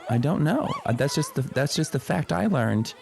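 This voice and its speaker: A man's voice: a little high, big pitch swings, moderate pace, creaky, darkish tone, some chatter behind. A man playing a polite, patient but weary zookeeper, explaining things hesitantly in an improvised comedy radio play. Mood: flustered, placating. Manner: hesitant, placating, trailing explanations.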